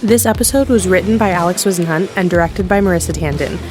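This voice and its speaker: A woman reading the end credits in an even, matter-of-fact way. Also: on the low side, fast, over music. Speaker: credits announcer.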